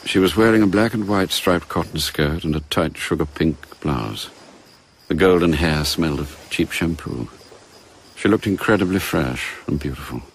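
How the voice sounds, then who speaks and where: An actor narrating a spy thriller radio drama as a grave, measured storyteller with an English accent. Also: male voice, low-pitched, a little hiss or hum, rough recording. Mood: sombre, reflective.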